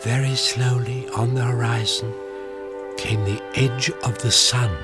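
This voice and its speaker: A man narrating a scripted story for a radio drama in a measured, descriptive way. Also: mid-range voice, little pitch movement. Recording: prominent music behind the voice.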